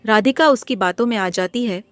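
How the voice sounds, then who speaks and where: A woman's voice: on the high side, normal speed, soft background music. A woman narrating a scripted moral story as a storybook narrator.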